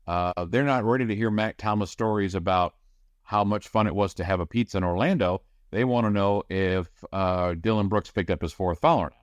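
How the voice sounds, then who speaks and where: Brief: man, play-by-play broadcaster type, low voice, dry close sound, lively explainer. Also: no echo. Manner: energetic, explanatory, rapid description. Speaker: radio play-by-play announcer, guest. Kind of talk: casual podcast chat.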